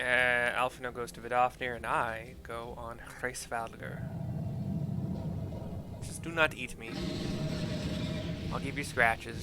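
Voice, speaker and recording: A man streaming a game, casually describing cutscenes in a halting, piecemeal way, with game music behind him. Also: mid-range voice, noisy background. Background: game music and sound.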